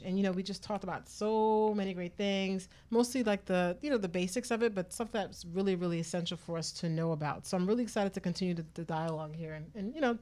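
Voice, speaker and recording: A woman's voice: lowish voice, a little mellow. A woman hosting a radio talk show in a conversational style.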